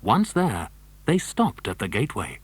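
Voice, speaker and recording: A man's voice: fairly low pitch, wildly swooping pitch, measured pace, slight background noise. A man reading scripted narration for a children's adventure story. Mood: narrating.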